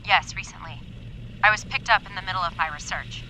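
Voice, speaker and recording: Anxious woman scientist in an apocalypse audio drama, urgent, really sharp bright voice, bit of background noise. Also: lowish voice, brisk, poor audio. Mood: somber. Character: Lucinda, anxious, insistent woman medical researcher in an apocalypse.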